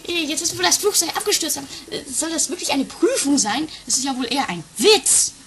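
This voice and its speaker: A woman's voice: high-pitched, big pitch swings, brisk. A woman playing a skeptical, easily exasperated guru's apprentice, hesitant and incredulous, in an improvised comic radio play.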